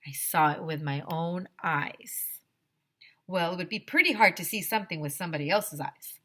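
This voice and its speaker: A woman teacher reading a children's story aloud in an expressive, dramatic, emphatic storytelling manner. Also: on the low side, normal speed.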